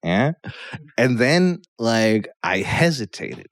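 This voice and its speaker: A man's voice: medium pitch, sing-song, slow, no room sound, clean studio sound. A Polish-born man in his thirties, a guest comedian, telling a rambling story on a podcast and checking in with "yeah?" as he goes. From Poland.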